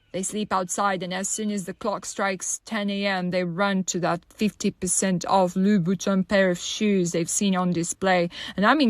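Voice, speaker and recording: A woman playing a troubled, lonely young woman in an audio monologue, halting, wry and conversational as she muses bitterly. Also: medium pitch, no echo, studio quality, natural voice. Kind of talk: dramatic monologue, audio drama.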